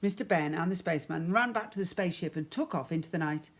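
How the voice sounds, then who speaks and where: A man reads a children's story aloud in a teacherly way. His delivery is expressive, with emphatic phrasing suited to young listeners. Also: on the high side, fast talker. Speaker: primary school teacher.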